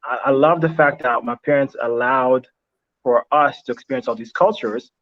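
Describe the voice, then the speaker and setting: Young man, halting and reflective, dull audio. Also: mid-range voice. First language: Italian. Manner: reflective, halting, many restarts and fillers. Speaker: interview guest. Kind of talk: casual interview chat. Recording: slightly dull lo-fi sound.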